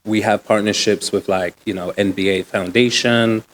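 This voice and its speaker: A man talks easily as an interview guest. His voice sits low, and he is relaxed and slangy, with plenty of ums and likes. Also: normal speed, slight background noise, low-quality recording. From Uganda, raised in the UK. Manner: relaxed, slangy, many fillers. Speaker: guest, nonprofit co-founder. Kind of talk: casual interview talk.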